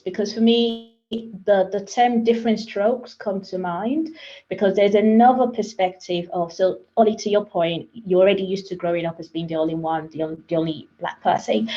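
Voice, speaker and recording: A woman who grew up in Nigeria, speaking as a panelist on a webinar, emphatic and lively, firing off questions. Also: medium pitch. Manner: animated, emphatic, with rising questions. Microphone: video call. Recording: lo-fi video call audio.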